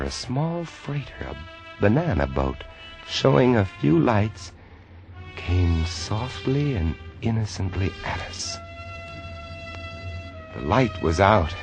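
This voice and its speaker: A man playing a haunted, desperate lighthouse keeper, narrating his ordeal with intense, deliberate dramatic pauses in a vintage 1950s radio drama recording. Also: medium pitch.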